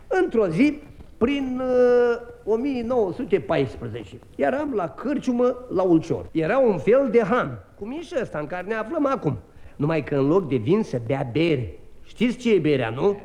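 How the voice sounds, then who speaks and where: An actor playing a cheerful, rambling Czech soldier who plays the naive, obedient fool in a 1950s radio drama. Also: male voice, sits high, a little mellow.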